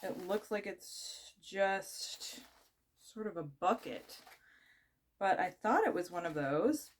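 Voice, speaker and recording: Young woman, bit of a cold, chatty and rambly, lots of ums, mid pitch. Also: animated, takes it slowly. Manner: chatty, rambling, many fillers and restarts. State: has a cold, losing her voice. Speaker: vlogger. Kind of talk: casual unboxing chat.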